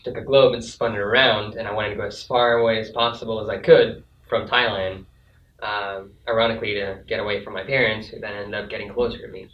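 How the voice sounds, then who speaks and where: A young man, a student, talking in a relaxed, wry way with many fillers. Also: fairly low pitch, brisk, a little hiss or hum. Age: young adult. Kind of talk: casual panel chat. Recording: echoey, distant, dull-sounding.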